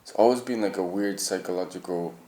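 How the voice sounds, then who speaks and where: Man, lowish voice, insistent and argumentative, echoey room. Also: fairly quick, mellow. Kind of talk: casual chat.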